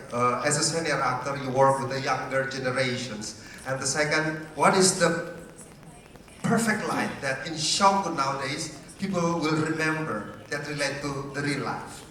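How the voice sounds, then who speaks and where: A man with an Indonesian accent asking an effusive, halting question from the floor as a TV journalist at a press session. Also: a little high, pushed and bright. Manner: effusive, halting short phrases. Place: large event hall. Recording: very echoey hall, distant, faint noise.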